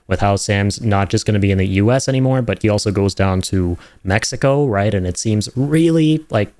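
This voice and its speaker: Excitable young man reacting to a trailer. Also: fairly low pitch, moderate pace, no room sound. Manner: enthusiastic, rambling, many fillers and "right?". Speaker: host reacting to game trailers. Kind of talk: casual reaction commentary.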